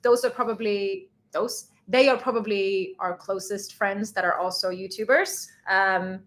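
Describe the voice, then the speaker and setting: A woman's voice: medium pitch, steady tone, a little slow, a bit bright. A woman from Sweden, a native Swedish speaker, co-hosting a travel channel's live Q&A and chatting casually while reading out viewer questions. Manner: chatty, laughs often, reads out viewer questions. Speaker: travel vlogger co-host.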